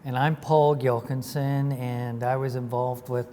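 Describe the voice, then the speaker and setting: A man in his late sixties, a guest in an interview, recalling the past slowly with many pauses and fillers. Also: medium pitch, flat delivery, warm tone. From Ontario, Canada. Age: 60s. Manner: slow and halting, many uh and um fillers. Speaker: interview guest, former lacrosse organizer. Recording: long reverb, faint noise.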